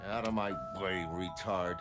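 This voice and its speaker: A man playing a gruff, contemptuous customer in a motion comic drama, curt and sneering. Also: deep voice, steady tone, moderate pace, over loud music, lightly acted. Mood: disgusted. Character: gruff, contemptuous male client of a prostitute.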